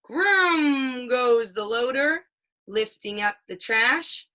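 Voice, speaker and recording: A mother reading a children's picture book aloud, with sing-song storytelling and drawn-out sound words. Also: fairly high pitch, expressive, pushed and bright. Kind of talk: children's read-aloud with questions.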